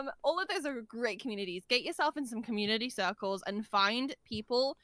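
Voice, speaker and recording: A woman's voice: on the high side, expressive, normal speed, a bit bright, no echo. A woman chatting casually and effusively as a podcast guest, with a giggly, talkative manner. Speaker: guest voice actor.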